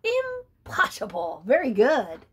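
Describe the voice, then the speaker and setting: Animated woman reading to children. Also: sits high, takes it slowly, breathy edge. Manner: animated, chatty, asks listeners questions. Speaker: storyteller. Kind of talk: children's read-aloud.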